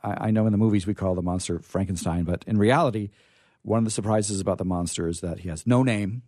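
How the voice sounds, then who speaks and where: A man hosting a casual literary discussion, explaining things in a halting, hesitant way. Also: on the low side, warm tone, no echo, studio quality. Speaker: host.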